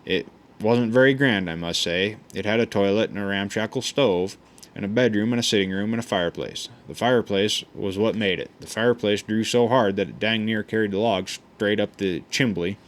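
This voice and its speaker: A man reading a memoir aloud in an unhurried, folksy way, often stumbling and restarting words. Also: on the low side. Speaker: audiobook reader.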